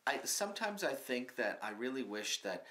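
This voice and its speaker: A man's voice: medium pitch, sing-song, moderate pace, pushed and bright. A man in his fifties, answering in an earnest, matter-of-fact way with lots of ums, seated in a small studio. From Canada. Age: 50s. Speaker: comedy writer, guest. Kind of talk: mock interview, plain answers. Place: small studio with curtain backdrop.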